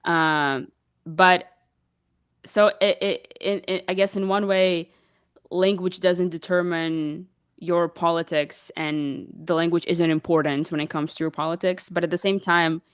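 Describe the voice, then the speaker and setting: A woman's voice: on the low side, fairly even intonation, unhurried, smooth, no room sound, studio quality. A woman from Ukraine, a native Russian speaker, explaining earnestly as a panel guest with some stammered restarts. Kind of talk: casual panel discussion.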